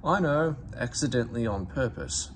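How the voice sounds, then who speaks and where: A man's voice: mid-range voice, expressive, normal speed, slight background noise, natural voice. Man playing a stern, commanding railway boss. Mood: sceptical. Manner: commanding, clipped, formal. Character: stern, authoritative male railway controller.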